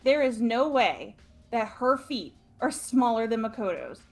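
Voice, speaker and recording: A woman's voice: on the high side, expressive, a little slow, faint music behind. A woman chatting casually and playfully with friends during a game playthrough, making her points emphatically.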